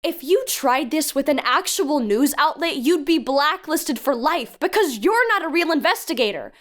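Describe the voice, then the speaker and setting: A woman's voice: high-pitched, animated, moderate pace, a bit bright. A woman acting as an earnest, no-nonsense guide in an audio drama, speaking with urgent, stern emphasis. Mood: outraged, scolding. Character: earnest, no-nonsense woman guiding a reckless youngster.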